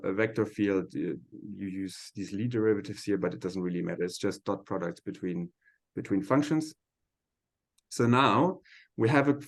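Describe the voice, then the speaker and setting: A man lecturing at a seminar in an informal, hesitant way, thinking aloud as he explains technical material step by step. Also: on the low side.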